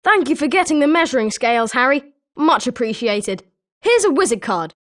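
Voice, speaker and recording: A woman voicing an excitable young British schoolboy wizard in a video game, with animated scripted delivery. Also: high voice, moderate pace. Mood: grateful. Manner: animated, expressive.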